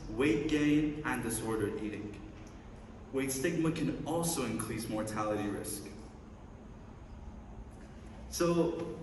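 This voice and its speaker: A man's voice: mid-range voice, slight background noise. A young man from Iran gives a conference presentation, upbeat with playful asides between slide points. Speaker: student researcher presenting. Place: large lecture hall. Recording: echoey hall, mic at a distance.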